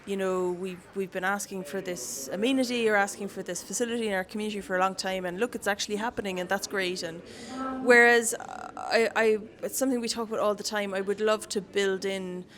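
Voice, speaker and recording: A woman's voice: mid-range voice, fairly bright tone. A young woman with a Cork Irish accent, a council worker as the guest in a casual interview, chatty and laughing often. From Ireland. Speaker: city council staff guest.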